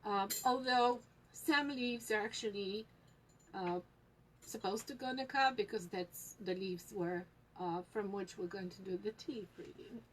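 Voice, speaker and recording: A woman with a Polish accent leads a live lesson at a very leisurely pace, pausing often with small fillers as she explains things to viewers. A faint ambient hiss sits behind her voice. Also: medium pitch, rough recording. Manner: unhurried, many fillers and pauses. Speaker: tea shop host teaching tea leaf reading.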